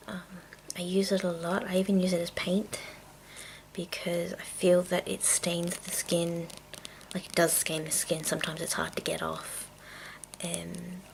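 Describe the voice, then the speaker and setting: A woman murmurs through a rambling ASMR show-and-tell, mostly in a breathy whisper. When her voice comes through it is crackly with fry and a little low. She moves very slowly and haltingly from one thought to the next. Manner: hushed, unhurried, halting. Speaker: ASMR video creator.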